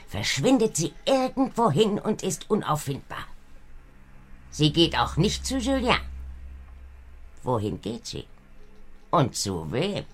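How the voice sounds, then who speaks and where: A devoted servant woman and healer in a radio drama. Her voice is extremely low and husky, swooping widely in pitch. She is deliberate, hushed and full of suspicion. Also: exaggerated voice. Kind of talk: German radio play acting.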